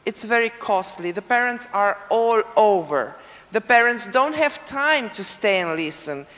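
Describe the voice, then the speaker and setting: A woman's voice: medium pitch, lively intonation, fairly bright tone. A woman speaking English with a Romanian accent, answering frankly as a conference panelist. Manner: frank, unhurried, self-assured. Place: large conference hall. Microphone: table microphone, at times dead. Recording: reverberant hall, voice from a distance.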